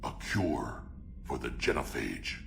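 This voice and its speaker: A man playing a proud, gruff alien warrior chief in a video game, blunt and defiant in his delivery. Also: very deep voice, big pitch swings, slow, noisy background, exaggerated voice.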